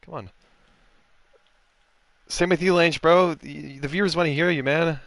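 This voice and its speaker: A man's voice: a little high, fairly even intonation, measured pace, vocal fry, fairly bright tone, dry recording. A man hosting a post-race sim racing stream, chatting casually with drivers and joking crudely. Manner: joking, laughing, crude banter.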